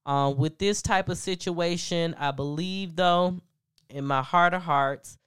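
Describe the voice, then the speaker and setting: Female gossip host: deep, congested voice, very smooth and resonant, chatty and frank. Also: measured pace, a bit bright. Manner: chatty and frank, many ums and asides to listeners. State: stuffed-up nose. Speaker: podcast host. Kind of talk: casual celebrity gossip commentary.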